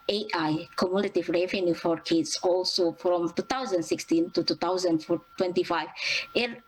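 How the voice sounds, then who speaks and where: A young woman from Indonesia with an Indonesian accent, presenting at an academic conference in a halting way, with many fillers and restarts. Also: lowish voice, slight background noise, poor audio. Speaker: student presenter.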